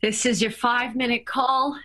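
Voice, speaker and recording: A woman playing a romantic, seductive stage manager in an improvised comic radio soap, with a breathy voice. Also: a little high, steady tone, normal speed, pushed and bright, faint music behind. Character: romantic, sexy, charismatic female stage manager.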